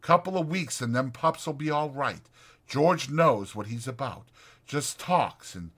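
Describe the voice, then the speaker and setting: A man's voice: medium pitch, unhurried, breathy edge, pitched above the performer's own voice. A man voicing a lonely, bitter, needling stable hand in a dramatized audiobook, with folksy, insistent, taunting talk. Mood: excited. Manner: insistent, taunting, folksy, drawn into excited speeches.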